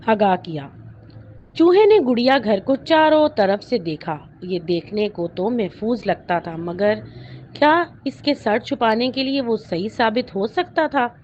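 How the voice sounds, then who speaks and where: A woman narrates a story written for children. Her voice sits at an average pitch for a woman. The recording is somewhat dull and lo-fi, with a light layer of noise behind her. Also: darkish tone. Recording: dull lo-fi audio with clear noise.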